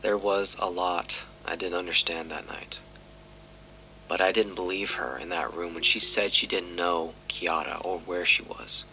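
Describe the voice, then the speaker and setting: An actor playing a skeptical, weary police detective who presses quietly in an audio drama. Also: male voice, low voice, unhurried, a little hiss or hum, exaggerated voice, low-quality recording. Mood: reflective, weary. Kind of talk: audio drama acting, interrogation and confessional narration.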